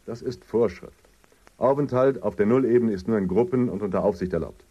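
An actor playing a seasoned expedition leader in a German radio drama, dry and instructive, giving orders casually. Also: male voice, fairly low pitch, fairly quick, mellow, husky, muffled recording. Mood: businesslike. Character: seasoned male expedition leader, wry, matter-of-fact, rule-minded.

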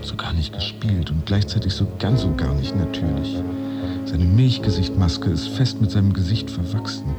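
A sardonic employee in a modern radio play. His voice is fairly low and he tells it theatrically, over clearly audible music. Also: normal speed. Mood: sardonic, ominous. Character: sardonic employee narrating a corporate theatre of layoffs. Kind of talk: modern German radio drama monologue.

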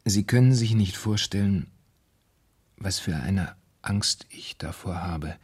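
Husky, anxious, low-voiced man. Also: darkish tone, exaggerated voice. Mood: anxious, tormented. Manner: hesitant, halting, repeating himself. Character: anxious, troubled man confiding a dreadful fear. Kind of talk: German radio crime drama acting.

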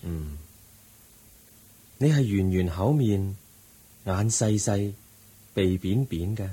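A man voicing a calm, wise Buddha. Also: fairly low pitch, breathy edge, heavily acted. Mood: gentle. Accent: Cantonese. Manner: patient, instructive, measured. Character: calm wise male Buddha teaching gently. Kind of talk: 1980s Cantonese radio drama acting.

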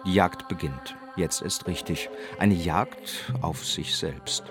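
An actor playing a knowing narrator of a dark crime tale, delivering dramatic radio drama narration deliberately and ominously. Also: male voice, low-pitched, over music.

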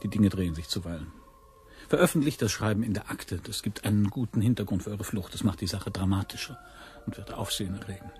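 Man acting sly, low-voiced court secretary. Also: quick pace, darkish tone, close to an everyday voice. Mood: sly, unruffled. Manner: dry, smooth-talking, ironic. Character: shrewd, unruffled, sly male court secretary. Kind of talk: radio play acting.

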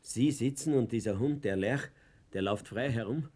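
A male actor playing a desperate, aggrieved middle-aged Austrian man in a radio play, pleading and colloquial in a husky voice. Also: medium pitch, moderate pace, a little mellow, close to an everyday voice. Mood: resigned, wry. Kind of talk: German radio play acting.

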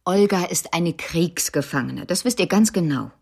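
An actress in a German radio drama playing a stern, weary wartime mother with a husky voice and a brisk, admonishing manner. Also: on the low side, expressive, darkish tone, heavily acted.

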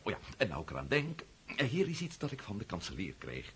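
An actor playing a suave, pushy older prince in a radio drama, husky-voiced, glib and punctuating his talk with little questioning hums. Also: on the low side, huge pitch swings, fairly quick, a bit bright, slight background noise, exaggerated voice. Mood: smooth, self-satisfied. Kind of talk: radio drama acting in Dutch.

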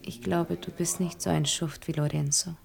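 An actress playing a blunt, sceptical, disillusioned sweetheart in a German radio play. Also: female voice, on the low side, steady tone, normal speed, gravelly. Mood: wry. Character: disillusioned, blunt young woman, a wronged sweetheart.

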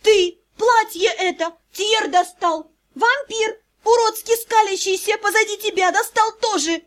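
A woman's voice: extremely high pitch, animated, normal speed, voice pushed up. A woman voicing a fearless, hot-tempered young vampire noblewoman in an audiobook, imperious and scolding. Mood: furious.

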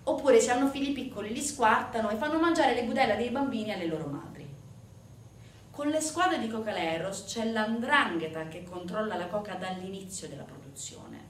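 A woman's voice: mid-range voice, lively intonation, moderate pace. A woman delivering a theatrical narrative monologue to an audience, emphatic and addressing listeners directly with rhetorical questions. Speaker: stage actress performing a monologue. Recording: roomy, distant mic, faint background noise.

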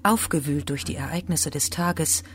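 A man's voice: on the high side, normal speed, fairly bright tone. A man narrating a literary radio drama from a prepared script.

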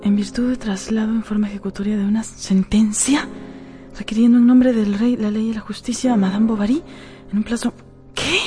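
A woman's voice: fairly high pitch, steady tone, brisk, warm tone, faint music behind, close to an everyday voice. An actress playing a discontented, restless married woman in a radio drama, with a theatrical, sighing delivery. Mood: alarmed. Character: discontented, restless married Frenchwoman, melodramatic and yearning.

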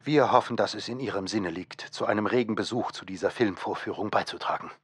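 A man's voice: lowish voice, a little fast, slightly rough. A man speaking scripted commentary in a documentary radio play. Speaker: narrator and commentator.

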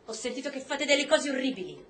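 A woman's voice: sits high, big pitch swings, fast talker, a bit bright. An actress playing a worried, reproachful wife and mother, pleading and accusing with emotional intensity in a stage-style drama. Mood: accusing, indignant. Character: worried, reproachful wife and mother confronting her soldier husband. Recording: echoey room, noisy background ambience.

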